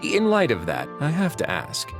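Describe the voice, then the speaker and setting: An actor voicing a composed duke who runs a prison fortress, speaking in a calm, measured and courteous way. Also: male voice, on the high side. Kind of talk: video game voice acting. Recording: soft game music behind.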